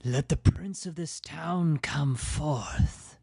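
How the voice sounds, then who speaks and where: A man's voice: a little high, takes it slowly, gravelly, dark tone, husky, pitched above the performer's own voice, exaggerated voice. A man voicing a menacing warlord of the damned in an audio drama, with a hissing half-whisper and archaic, taunting speech. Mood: taunting menace.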